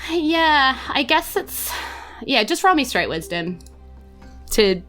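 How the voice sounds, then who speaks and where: A woman with a New Zealand accent running a tabletop game, improvising her descriptions in a halting, conversational way. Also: sits high, very animated, sharp tone, faint music behind. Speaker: game master of a tabletop game podcast.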